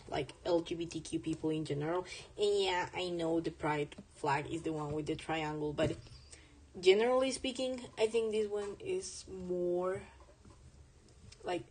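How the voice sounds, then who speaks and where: A woman's voice: fairly low pitch, a little mellow, slight background noise, low-quality recording. A young woman whose first language is Spanish, chatting casually while she draws on stream, rambling and correcting herself as she goes. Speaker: artist streaming a drawing session. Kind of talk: casual chat while drawing.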